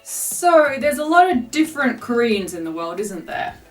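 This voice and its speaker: Woman, animated vlog host, higher pitch, echoey room, faint music behind. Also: normal speed. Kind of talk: casual chatty vlog. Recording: roomy, distant mic with faint music.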